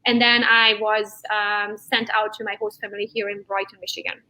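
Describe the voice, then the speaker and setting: Bright-voiced woman, Hungarian speaker from Transylvania. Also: medium pitch, moderate pace, rough recording. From Transylvania, Romania. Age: around 40. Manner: lively, storytelling, laughs often. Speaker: real estate agent guest. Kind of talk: interview guest chat.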